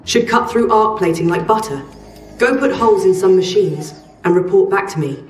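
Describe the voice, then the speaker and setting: A woman's voice: medium pitch, lively intonation, moderate pace, a little mellow, natural voice. An actress playing a brusque female arms dealer in a video game, handing out jobs in a blunt, dry, casual way. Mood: brisk. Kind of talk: scripted game quest dialogue. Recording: roomy, distant, faint music.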